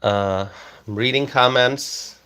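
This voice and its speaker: Male livestream host from Bangladesh, slow, halting delivery, reads chat comments aloud. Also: medium pitch, bright, forceful tone, smooth. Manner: slow, halting, many ums and uhs.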